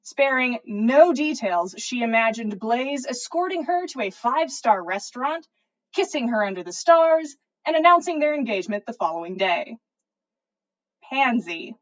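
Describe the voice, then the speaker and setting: A woman's voice: a little high, animated, moderate pace, muffled recording. A woman narrating fan fiction as a podcast host, reading the story expressively.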